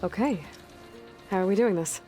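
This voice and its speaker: A resourceful young hunter, played by a woman, with a mid-pitched, steady voice. She talks plainly and practically, a video game cutscene with faint music underneath. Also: moderate pace, lightly acted. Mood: curious.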